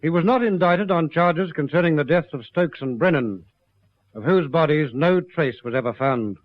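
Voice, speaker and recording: A man giving formal scripted narration for an old radio police drama, in a grave, measured and emphatic manner. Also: on the high side, lively intonation, dry recording. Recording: dry, muffled vintage radio recording.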